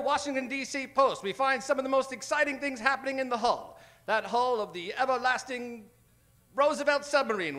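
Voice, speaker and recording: Excitable vintage newsreel announcer, very high pitched, bright, bombastic delivery. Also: male voice. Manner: declamatory, over-emphatic. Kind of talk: parody 1940s newsreel narration.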